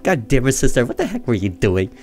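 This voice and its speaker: A man's voice: medium pitch, wildly swooping pitch, fast talker, darkish tone, soft background music, pitched above the performer's own voice. A man voicing an earnest boy, a frightened but protective older brother, in a horror visual novel. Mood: startled, annoyed.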